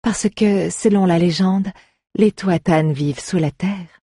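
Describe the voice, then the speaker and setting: A reader voicing a curious, quick-spirited female bard in a fantasy audiobook, speaking eagerly and with assurance. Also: medium pitch, warm tone, breathy edge, no room sound, clean studio sound. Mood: assured.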